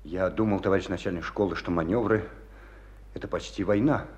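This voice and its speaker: A man plays a young tank cadet in a radio play. His voice is fairly low and very quick, formal and disciplined, with stubborn self-assurance. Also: fairly even intonation, darkish tone, highs cut off, natural voice. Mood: earnest, defiant. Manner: clipped, formal military answers. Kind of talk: Russian radio drama acting.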